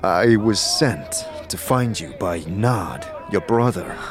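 A man playing a weary, earnest immortal wanderer in an audio drama, speaking in a halting, hesitant way. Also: fairly low pitch, animated, over music, crowd noise.

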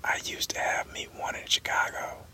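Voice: moderate pace, bright, forceful tone. A reader voicing a brash, bawdy young woman, whispered throughout. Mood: insistent. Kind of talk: whispered audiobook reading.

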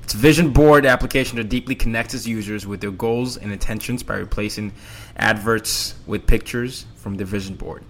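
A man's voice: lowish voice, normal speed, a bit bright, a little hiss or hum. A man hosting a podcast interview, upbeat and friendly, laughing easily as he asks his guest questions. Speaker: podcast host. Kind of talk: casual interview.

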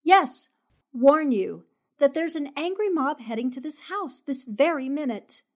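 A woman's voice: high voice, animated. A woman playing a forthright, insistent newspaperwoman in a 1940s-style radio drama, assertive and unafraid. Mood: urgent warning. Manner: assertive, brisk questioning.

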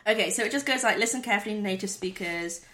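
A woman's voice: mid-range voice, racing, fairly bright tone, poor audio. A woman co-hosting in casual comic banter, reading instructions aloud in a giggly, playful manner.

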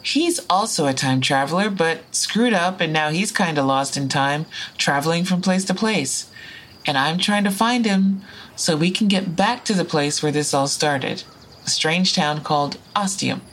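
A woman's voice: low voice, unhurried, fairly bright tone, rough recording. A woman playing a frank, foul-mouthed time traveler in an audio drama, speaking and narrating in a casual, wry way. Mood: confiding, earnest. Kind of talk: audio drama dialogue and first-person narration.

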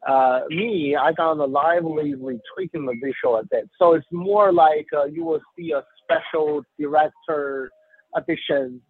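A male artist with a highish voice explains his work in halting, non-native English. He sounds like he is on a thin phone line, with no room echo. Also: lively intonation, husky. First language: not English. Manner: halting, repeats words. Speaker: artist, interviewee. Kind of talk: spontaneous explanatory interview.

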